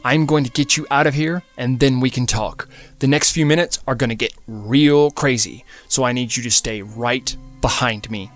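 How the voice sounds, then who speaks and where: A man's voice: mid-range voice, normal speed, no echo, lightly acted. A young male fugitive, played by a man reading an audiobook, speaks in a mid-range voice. He is urgent and insistent, with a cocky, dismissive edge. Music plays behind him. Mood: urgent, pleading.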